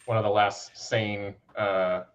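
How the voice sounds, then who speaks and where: A man's voice: fairly low pitch, flat delivery, slow. A hungover man chatting casually over a video call with a headset, profane and rambling. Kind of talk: casual chat.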